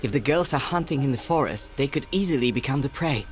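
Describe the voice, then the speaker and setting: A man's voice: mid-range voice, expressive, fast, a little hiss or hum, lightly acted. A man playing an earnest, weary young boy hero in a video game, with music and night insects behind him. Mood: troubled. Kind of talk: video game character acting.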